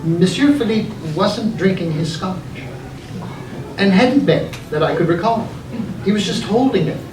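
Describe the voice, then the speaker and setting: A man reading his own story aloud in a theatrical, deliberate way, with dramatic pauses. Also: high-pitched. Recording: echoey, noisy room, distant mic.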